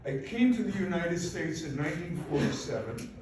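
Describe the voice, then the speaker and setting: A man's voice: high-pitched, lively intonation, moderate pace, a little mellow. A man in his eighties from Poland, a native Polish speaker, giving a prepared, formal speech of personal testimony. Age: 80s. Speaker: invited speaker, Holocaust survivor. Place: large echoing room at a public event. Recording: very echoey hall, far off, faint noise.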